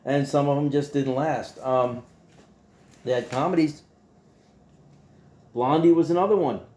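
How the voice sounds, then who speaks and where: A man hosting a casual monologue to his viewers in a folksy, playful way, halting and repeating himself as he goes. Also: on the high side, dark tone. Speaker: host.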